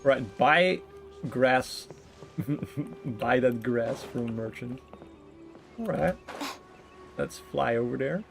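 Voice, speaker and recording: A man's voice: mid-range voice, expressive, slow pace, gravelly, long pauses. A man streaming a game with casual, rambling, joking and giggly commentary. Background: game music.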